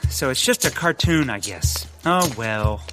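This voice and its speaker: A man's voice: mid-range voice, animated, unhurried, over loud music, close to an everyday voice. A man playing a naive, mild, easily distracted sidekick in a comedic audio drama. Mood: easygoing, goofy.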